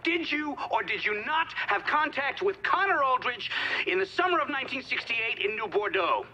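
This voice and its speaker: An actor playing a formal official in a video game, putting pointed, insistent questions to a witness. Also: male voice, very high voice, expressive, moderate pace, pushed and bright, heavily acted. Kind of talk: hearing interrogation, game cutscene acting. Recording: dull lo-fi sound, faint noise.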